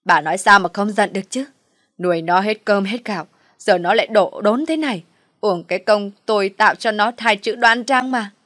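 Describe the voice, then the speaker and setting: Woman as middle-aged family father, gruff, commanding, hot-tempered, storybook drama, dry recording. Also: on the low side, fairly even intonation, brisk, a bit bright, no room sound. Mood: angry, bitter. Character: irate, domineering middle-aged male family patriarch.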